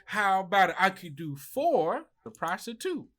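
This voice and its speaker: A man's voice: high voice, big pitch swings, moderate pace, a bit bright, pitched above the performer's own voice, exaggerated voice. A man voicing a folksy little dog who works as a carnival vendor, talking in salesman patter for a tabletop game. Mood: cheery, persuasive. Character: tiny-armed elongating dog carnival vendor, folksy huckster.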